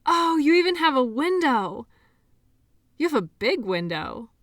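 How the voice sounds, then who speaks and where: A woman acts out a kindly, awkward female medical worker in an intimate audio drama. Her voice sits fairly high and she takes her time, rambling hesitantly and stumbling into apologies as she speaks to the listener. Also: very animated, measured pace, a bit bright, no echo, clean studio sound, full character voice. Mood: flustered, impressed. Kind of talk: audio roleplay acting, addressing the listener.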